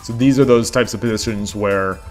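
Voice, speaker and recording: A man streaming an online board game, casually thinking aloud in a low-key way, often repeating himself as he plays. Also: fairly even intonation, fairly quick, soft background music. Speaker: streamer playing online shogi. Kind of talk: casual live-stream commentary.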